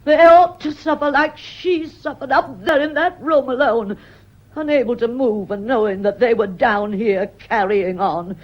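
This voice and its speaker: Bitter housekeeper, fairly high voice. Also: female voice, measured pace, lo-fi sound. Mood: bitter, distraught. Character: bitter, fiercely loyal female housekeeper, stiff and defensive. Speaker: actor in a radio play. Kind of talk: radio mystery drama acting.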